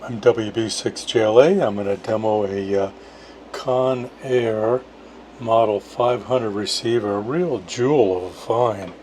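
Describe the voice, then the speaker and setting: A man's voice: fairly low pitch, measured pace. Man demonstrating gear over background noise. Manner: enthusiastic, remarking in short plain phrases. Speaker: amateur radio operator demonstrating a vintage receiver. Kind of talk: casual product demonstration. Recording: noisy, radio static and hum.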